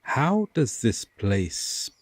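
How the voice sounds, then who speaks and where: A man playing a haughty, dark fae king in a fantasy audio roleplay, speaking with deliberate, commanding pauses and a breathy, condescending tone. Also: medium pitch, sing-song, a little hiss or hum. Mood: condescending, curious. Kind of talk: slow, dramatic roleplay audio acting.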